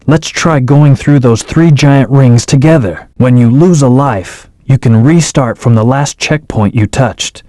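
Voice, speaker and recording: A cool, aloof male hedgehog hero from a video game, voiced by a man in a mid-pitched voice. He speaks in calm, terse commands, and the studio sound is dry and close. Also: no room sound, close to an everyday voice. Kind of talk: video game voice acting, tutorial hints. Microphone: studio microphone.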